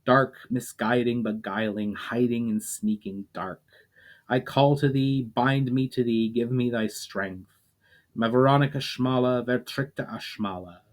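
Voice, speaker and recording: A man reading the chant of a man calling on the power of darkness, slow and incantatory. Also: mid-range voice, fairly even intonation, lightly acted.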